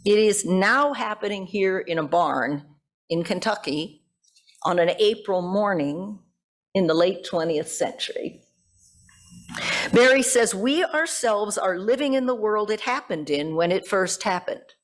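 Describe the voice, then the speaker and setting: A woman's voice: medium pitch, animated, a little slow. Reflective woman preaching a sermon. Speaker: pastor. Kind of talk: sermon with poetry quotations.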